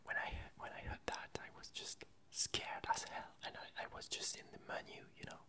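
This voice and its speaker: An ASMR creator rambling casually in a soft, breathy, unvoiced whisper, halting and unhurried while searching for words. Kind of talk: whispered ASMR ramble about games and films.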